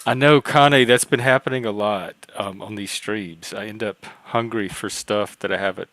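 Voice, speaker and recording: A man hosting a live online panel stream, chatting in a relaxed, easygoing way. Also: on the low side, normal speed, no echo. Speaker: host of the stream. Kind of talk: casual online panel chat. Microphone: streaming setup mic.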